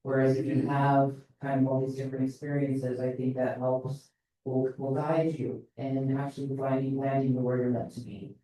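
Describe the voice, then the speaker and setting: A man speaking on a panel in a relaxed, reflective way with light fillers. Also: medium pitch, moderate pace, a little hiss or hum. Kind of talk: panel discussion. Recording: echoey hall, mic at a distance.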